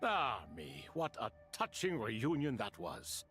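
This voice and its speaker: A man's voice: mid-range voice, wildly swooping pitch, takes it slowly, a bit bright, faint music behind, full character voice. A man voicing a wry, haughty ancient spirit in a video game, theatrical and formal. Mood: wry, lofty.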